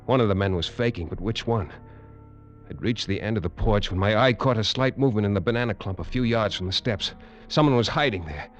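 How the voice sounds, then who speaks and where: An actor playing a tough, wry American lawman in a 1940s radio drama, narrating in terse, measured hardboiled style. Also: lowish voice, faint music behind, natural voice. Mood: tense, brooding. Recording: dull, noisy vintage radio recording.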